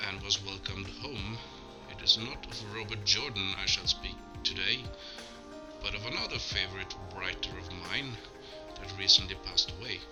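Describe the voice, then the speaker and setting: A Norwegian man, Norwegian his first language, giving a slow, measured, solemn spoken tribute with small self-corrections. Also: fairly low pitch. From Norway. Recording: clear music bed behind the voice.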